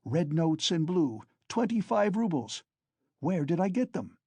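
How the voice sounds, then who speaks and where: A man's voice: fairly high pitch, big pitch swings, unhurried, dark tone, slightly rough, no room sound, voice pushed up, full character voice. A man reading an audiobook, voicing a volatile, feverish young man who mocks and pleads bitterly. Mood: feverish mocking. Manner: mocking, then pleading in a frenzy.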